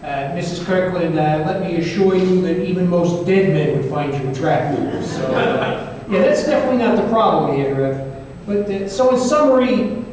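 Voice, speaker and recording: A man playing a flustered, worldly confidant in an old-time radio drama, stammering and halting as he talks. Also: sits high, expressive, a little mellow. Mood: awkward, probing. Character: flustered, worldly male confidant, informal and reassuring. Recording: echoey hall from far off, faint noise.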